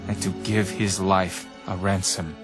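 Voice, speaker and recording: An actor playing a calm, authoritative male teacher in an audio Bible drama, speaking in a solemn, measured, earnest way. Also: deep voice. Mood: solemn, instructive. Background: background music.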